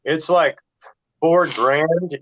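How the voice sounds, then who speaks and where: A man's voice: on the high side, very slow, fairly bright tone, slight background noise. A man chatting casually as a rock musician, blunt and profane, on a dull lo-fi recording. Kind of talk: casual chat.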